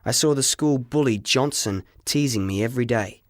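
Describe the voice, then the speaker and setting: A man narrating a story in the first person as an audiobook reader, with expressive, dramatic, deliberate phrasing. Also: medium pitch, dry recording, studio quality.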